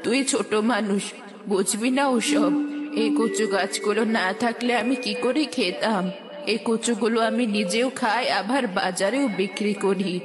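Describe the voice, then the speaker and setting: A woman's voice: mid-range voice, normal speed, big-room sound, natural voice. A woman voicing a stubborn, self-reliant old village woman in a children's cartoon. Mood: proud, dismissive. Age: older. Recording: music behind the voice.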